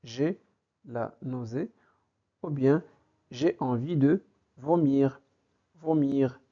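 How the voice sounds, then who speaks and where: A man teaching a vocabulary lesson, speaking deliberately and repeating each phrase for learners. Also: medium pitch. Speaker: French teacher. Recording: dull lo-fi recording.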